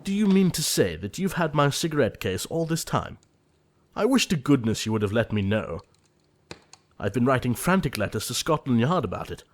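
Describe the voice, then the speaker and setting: A man acting an earnest, easily exasperated young English gentleman in an audio play of a period comedy, formal and emphatic. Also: mid-range voice, big pitch swings, moderate pace, close to an everyday voice.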